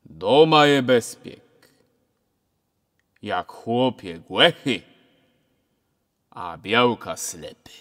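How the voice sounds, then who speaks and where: A man's voice: medium pitch, expressive, very slow, long pauses, fairly bright tone. A man playing a jovial, preachy village priest with a Kashubian accent in an amateur radio play, speaking in a sing-song sermon manner. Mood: preachy, folksy advice. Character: older male village priest, jovial, preachy, counselling a young couple. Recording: very reverberant hall-like sound.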